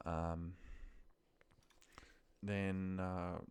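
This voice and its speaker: A man giving a casual screen-share walkthrough as a trainer, thinking aloud in a halting, muttering way as he works. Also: sits low, little pitch movement, a little mellow, smooth. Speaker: trainer demonstrating office data work. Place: voice-over of a screen recording.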